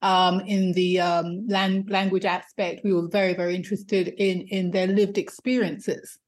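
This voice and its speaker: A woman's voice: medium pitch, little pitch movement, a little slow. A woman speaking as an interview guest in a thoughtful way, with fillers and repeated words. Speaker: guest, co-editor. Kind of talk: conversational interview.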